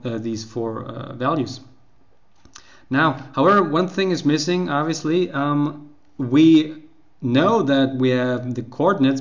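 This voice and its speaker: A man walking through a coding tutorial over a screen recording, unhurried and full of fillers and restarts. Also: medium pitch, muffled recording. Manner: unhurried, explaining step by step with many fillers and restarts. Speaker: programming tutorial host.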